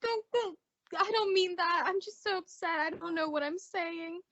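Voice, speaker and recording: A woman playing a housewife's excitable, sympathetic best friend in theatrical old-time radio comedy style. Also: very high voice, moderate pace. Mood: tearful, flustered. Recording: dull, lo-fi audio drama recording.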